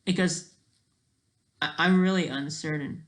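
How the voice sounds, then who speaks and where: A person thinking aloud in casual, rambling, digressive commentary as a video creator, with a creaky voice. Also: mid-range voice, unhurried, fairly bright tone.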